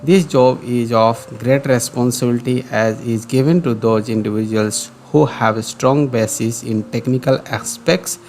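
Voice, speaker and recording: A man's voice: medium pitch, normal speed, few pauses, a little mellow, faint music behind. A man with an Indian accent presenting career guidance as a scripted explainer, in a didactic way that repeats key points for emphasis.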